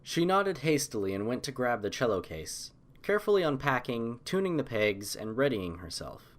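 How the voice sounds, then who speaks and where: A man with a mid-pitched voice narrates a piece of fiction. He reads from a script as an audiobook storyteller. His delivery is measured and expressive.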